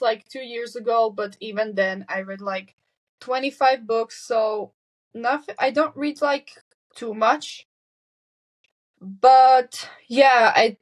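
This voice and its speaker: A woman's voice: a little high, poor audio. A seventeen-year-old Slovak girl, speaking English as a second language, answering interview questions candidly and correcting herself as she goes. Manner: candid, self-correcting, quick nervous laughs. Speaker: guest. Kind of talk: casual interview chat.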